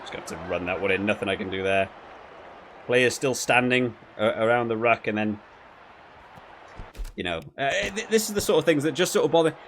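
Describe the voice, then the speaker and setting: A man giving casual, rambling commentary while playing a video game, often halting and repeating his words. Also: medium pitch, lively intonation, fairly bright tone, a little hiss or hum. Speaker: video game reviewer and player.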